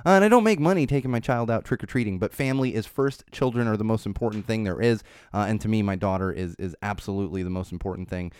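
A man's voice: on the low side, fast talker, few pauses, a little mellow, clean tone, no echo. A man hosting his own podcast, talking in a casual, rambling way while still congested from a cold, recorded on a studio microphone. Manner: chatty, rambling, self-promoting. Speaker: podcast host. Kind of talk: casual solo podcast talk. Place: small studio.

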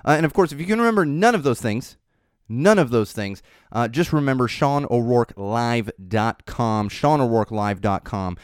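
A man's voice: mid-range voice, lively intonation, moderate pace, clean tone, dry recording. A man hosting his own podcast, talking in a casual, rambling way while still congested from a cold, recorded on a studio microphone. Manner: chatty, rambling, self-promoting. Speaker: podcast host. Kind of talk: casual solo podcast talk. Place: small studio.

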